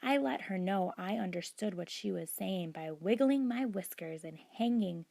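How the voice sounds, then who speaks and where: A woman reading a children's book aloud as narrator, in a deliberate, expressive storytelling manner. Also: mid-range voice, vocal fry. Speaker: storybook reader.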